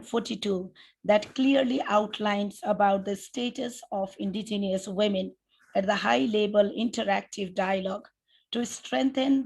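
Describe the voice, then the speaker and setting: Gravelly-voiced Nepali woman moderating formally. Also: mid-range voice. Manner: formal, measured, reads prepared remarks with occasional restarts. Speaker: session moderator.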